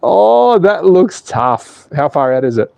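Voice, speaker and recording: A cheeky Australian man in his forties talks viewers through a slot car build on a stream, swearing freely and joking with the chat. His voice is mellow and dark. The sound is close and dry. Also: high voice, sing-song, slow, creaky, no room sound. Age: 40s. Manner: cheeky, swearing, laughs at his own jokes, reads out chat. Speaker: slot car channel host. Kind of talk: casual livestream chat.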